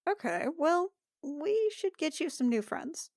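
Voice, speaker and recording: A woman playing a tabletop roleplaying game, improvising dialogue in a casual, halting, informal way. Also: high voice, sing-song, vocal fry, darkish tone, dry recording, studio quality.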